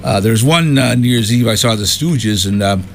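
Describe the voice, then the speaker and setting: Older man reminiscing over clear background noise. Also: medium pitch, moderate pace. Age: 60s. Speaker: band musician being interviewed. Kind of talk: casual chat, reminiscing.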